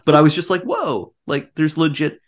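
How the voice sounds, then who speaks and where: A man's voice: on the high side, little pitch movement, fast. A man co-hosting a podcast, chatting casually about a film in a jokey, enthusiastic way. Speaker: podcast co-host.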